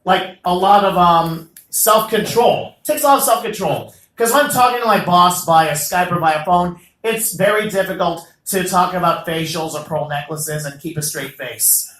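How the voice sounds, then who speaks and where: A young man doing a stand-up comedy set as the host of an open mic, animated and jokey, with loud bursts on the punchlines. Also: high-pitched, moderate pace, few pauses, roomy sound, low-quality recording. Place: small comedy venue.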